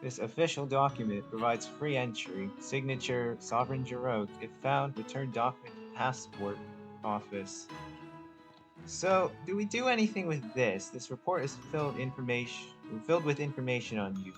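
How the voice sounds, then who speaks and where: Male gamer, casual commentary, medium pitch, laid-back, thinking aloud, game music behind. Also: lively intonation, normal speed. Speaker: gamer, video host.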